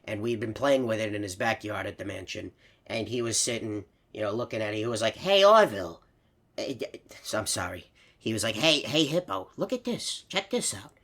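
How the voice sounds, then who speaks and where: A man voicing a rambling, nostalgic elderly hippo storyteller for a game, stammering and easily sidetracked, with a husky voice. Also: on the low side, expressive, a little fast, fairly bright tone. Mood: exasperated, animated. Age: older. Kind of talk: meandering character monologue for a horror fan game.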